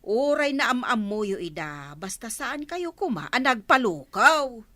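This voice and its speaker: A woman's voice: a little high, very animated, measured pace, bright, forceful tone, no room sound, exaggerated voice. A woman with a Filipino Ilocano accent playing a blunt, exasperated village friend who chides others in a radio comedy drama. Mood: blunt reproach.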